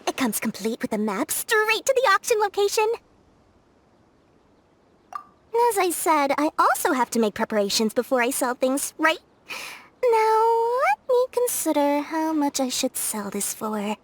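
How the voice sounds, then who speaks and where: An actress voicing a scheming, greedy young merchant in a video game dub, sly and salesy. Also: female voice, sits high, very animated, unhurried, slight background noise.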